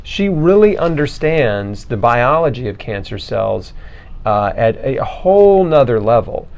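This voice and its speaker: A man with an average-pitched voice hosts a casual interview. He talks informally, in loose colloquial phrases, as in everyday conversation. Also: very animated, measured pace, gravelly, a little mellow. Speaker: interviewer, host.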